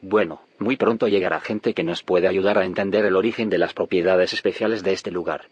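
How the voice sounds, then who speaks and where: Husky, earnest physicist, Spanish accent. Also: male voice, sits low, steady tone, fairly quick, no room sound, highs cut off. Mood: earnest, mild. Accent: Spain. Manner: mild, earnest, reasoning. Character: earnest, idealistic male physicist. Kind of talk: audio drama acting, scripted dialogue.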